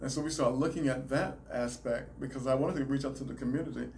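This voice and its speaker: A man with a Southern US accent explains his work in a calm, measured way, pausing and restarting now and then. His voice has a mid-range pitch, and a faint hum of room noise runs under it. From Alabama, raised in South Carolina. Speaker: chiropractor, guest. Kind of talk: interview answers, explaining.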